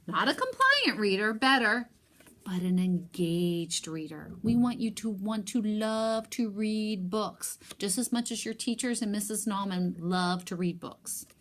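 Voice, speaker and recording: A woman teacher reading a picture book aloud and talking to young pupils, expressive, with emphatic pauses. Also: medium pitch.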